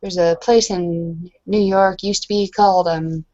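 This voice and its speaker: A woman's voice: on the low side, steady tone, measured pace, darkish tone, lo-fi sound. A woman improvising casual in-character roleplay talk as a blunt, curious bar-goer, rambling and slangy. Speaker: tabletop roleplay player.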